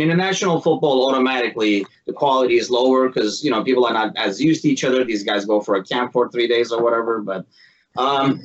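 A man's voice: medium pitch, lo-fi sound, slight background noise. A man chatting casually and informally in a rambling way, as a panelist on a sports podcast. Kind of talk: casual chat.

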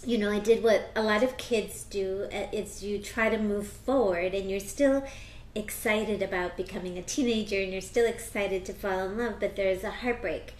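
An American woman speaking as an astrologer and tarot reader, chatting casually in an interview with bursts of excitement. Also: mid-range voice, echoey room, a little hiss or hum, rough recording. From United States. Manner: animated, quick bursts of excitement.

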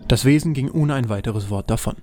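Male narrator for a fantasy audio play, mid-pitched, scripted storytelling over light background music. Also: a little fast, a little mellow, dry recording.

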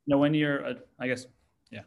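An American man in his thirties, a startup CEO answering interview questions in a relaxed way with many fillers. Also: medium pitch, a little fast, phone-quality sound. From United States. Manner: relaxed, many ums and you-knows.

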